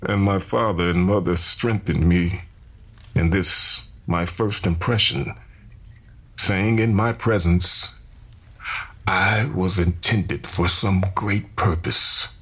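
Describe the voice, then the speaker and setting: A man's voice: low-pitched, steady tone, slow pace, fairly bright tone, full character voice. A man playing a solemn enslaved preacher in his thirties, convinced of his divine calling, giving grave, formal testimony in a historical dramatization. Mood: solemn, resolute. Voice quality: gravelly, husky.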